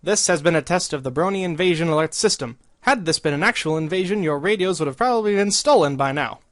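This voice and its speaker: A man's voice: a little high, lively intonation, fast talker, dry recording, clean studio sound, close to an everyday voice. A man playing an earnest, bumbling pony announcer reading a mock emergency broadcast in a radio play. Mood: official.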